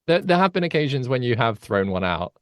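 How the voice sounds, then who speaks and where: A man's voice: mid-range voice, sing-song, a little fast, clear, resonant tone. A man chatting casually as a podcast co-host and game master.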